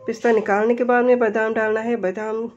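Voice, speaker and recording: A woman's voice: fairly high pitch, level tone, a little fast, darkish tone, lo-fi sound. A woman with an Indian accent gives step-by-step instructions as a home cook in a recipe voice-over. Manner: explanatory, step-by-step.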